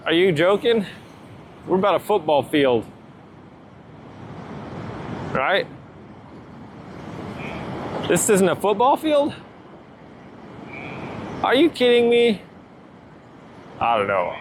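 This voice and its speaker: A man's voice: fairly high pitch, big pitch swings, slow, long pauses, slight background noise. A man giving a casual, unscripted product review outdoors, talking in a loose, informal way and often checking in with questions. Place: outdoors near water, walking.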